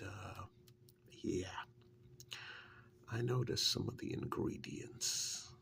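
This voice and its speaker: A man playing a witch's assistant in an ASMR role-play, softly telling his story in an earnest, wary, hesitant way with a breathy, gravelly voice. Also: lowish voice, exaggerated voice. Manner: hesitant, unhurried first-person storytelling.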